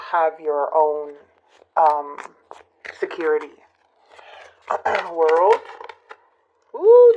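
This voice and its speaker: A woman giving a casual tarot reading to her viewers, musing and hesitant, her voice a bit hoarse from a recent illness. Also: mid-range voice, highs cut off. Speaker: tarot reader.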